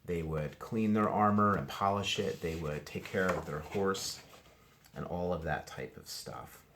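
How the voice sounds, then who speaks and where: A man's voice: deep voice, unhurried, background noise. A man working as a teacher, reading a picture book to young children and commenting casually in simple explanations. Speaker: teacher reading aloud to first grade class.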